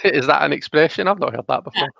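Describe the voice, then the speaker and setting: A man hosting a live card reading, talking casually and explaining as he thinks aloud, with halting phrasing. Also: on the high side, gravelly, sharp tone, no echo, lo-fi sound. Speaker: host of a live tarot reading.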